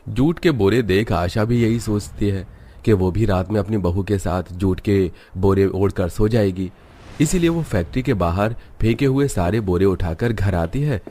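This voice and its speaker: Low-pitched male story narrator. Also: lively intonation, moderate pace, warm tone.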